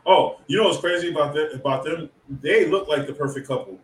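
A man's voice: on the high side, fairly quick, recorded from a distance. Male podcast co-host, laid-back slang, sits back from the mic, echoey room. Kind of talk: casual chat. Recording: roomy, off-mic.

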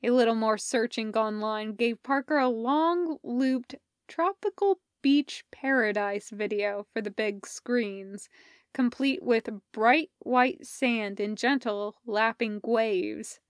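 A woman reading scripted fiction as a narrator, in a measured, deliberate storytelling manner. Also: fairly high pitch, clean tone, no room sound, studio quality. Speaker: podfic reader.

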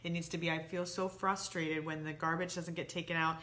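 Middle-aged woman, bright forceful voice. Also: sits low, little pitch movement, brisk. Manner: explanatory, illustrates points with acted-out example phrases. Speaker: co-host giving relationship advice. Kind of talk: conversational advice talk.

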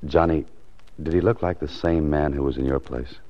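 American noir sleuth, bottom-register voice, terse and self-questioning; old muffled radio drama sound. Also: male voice, extremely low pitch, normal speed. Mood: probing. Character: hard-boiled male author-detective, brooding, determined, narrating his case. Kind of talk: 1940s radio acting, noir narration. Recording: muffled 1940s radio recording, music behind.

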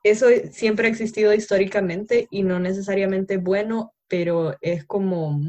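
A woman's voice: medium pitch, fairly even intonation, moderate pace, a little mellow. Earnest young Honduran woman explaining. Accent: Honduran Spanish. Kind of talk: casual conversation on social issues.

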